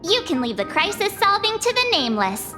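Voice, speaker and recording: A woman's voice: very high voice, sing-song, brisk. An actress voicing a perky, upbeat young woman companion in a video game. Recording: game audio with music.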